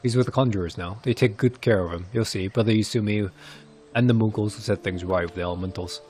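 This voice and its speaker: A man voicing a weary, solemn old village elder who is gentle with children, speaking in grave, archaic phrasing as in fantasy game cutscenes. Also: lowish voice, fast, faint music behind. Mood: soothing. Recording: clear background noise.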